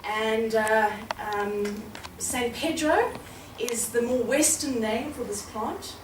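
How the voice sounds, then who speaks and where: Loud-voiced woman hosting a live talk. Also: on the high side, expressive, slow, reverberant, far from the mic, background noise. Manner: loud, chatty, friendly. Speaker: event host interviewer. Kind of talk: live interview before an audience. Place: hall with a live audience.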